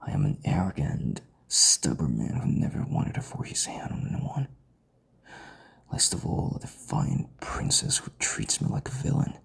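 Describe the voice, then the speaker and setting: A man playing a proud, stubborn king in an intimate audio drama, commanding, with breathy, gravelly half-whispers. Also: a bass voice, huge pitch swings, moderate pace, heavily acted. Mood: intense, pleading. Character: proud, stubborn, commanding young king, bitter rival husband.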